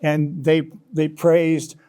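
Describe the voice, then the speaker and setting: Mellow, slightly high-voiced man being interviewed. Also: level tone, smooth. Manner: halting, repeats words as he explains. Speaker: interview guest, author. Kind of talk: conversational interview answers. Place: broadcast interview studio.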